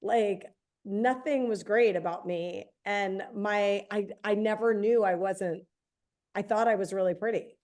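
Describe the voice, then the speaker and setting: Hoarse chatty woman in her forties. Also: medium pitch, darkish tone. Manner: chatty, self-deprecating, quick asides. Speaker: podcast co-host. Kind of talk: casual chat.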